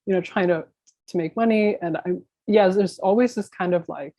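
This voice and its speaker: A woman's voice: mid-range voice, moderate pace, warm tone, no room sound, clean studio sound. An American woman from Miami speaking casually as an interview guest, thinking aloud in an informal, rambling way. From Miami, United States. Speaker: interview guest, new media artist.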